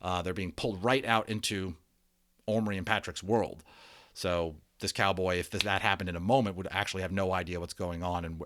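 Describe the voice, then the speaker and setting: A man reading a children's book aloud as a storyteller, expressive and dramatic, with conversational explanations for listeners. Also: low-pitched, dry recording. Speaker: storybook reader.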